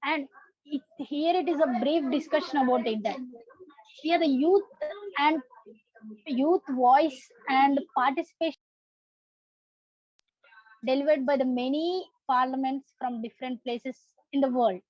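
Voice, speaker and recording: High-voiced young-parliament presenter, Indian woman. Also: unhurried, long pauses, darkish tone, no echo. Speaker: youth parliament prime minister, presenter. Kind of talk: prepared presentation. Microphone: video call. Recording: muffled video-call audio.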